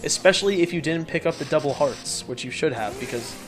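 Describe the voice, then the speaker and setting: A man's voice: fairly high pitch, lively intonation, a little fast. A man hosting a let's play with casual, rambling, jokey and self-deprecating commentary over game music. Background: video game music.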